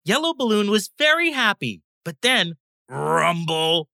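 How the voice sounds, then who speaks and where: A woman reading a children's bedtime story as a scripted, animated narrator, acting out the sound words. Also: mid-range voice, measured pace, vocal fry, no echo, studio quality.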